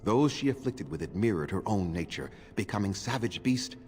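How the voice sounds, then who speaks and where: An actor voicing a stern, proud old male elf clan keeper in a video game, speaking in grave, measured, formal tones. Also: lowish voice, big pitch swings, a little mellow, soft background music. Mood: solemn.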